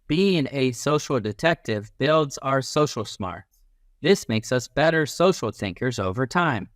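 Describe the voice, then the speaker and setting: A man reading a children's picture book aloud as a teacher, in an expressive, instructive manner. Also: mid-range voice, measured pace, no echo, studio quality. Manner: animated, instructive, acts out little sounds and quotes.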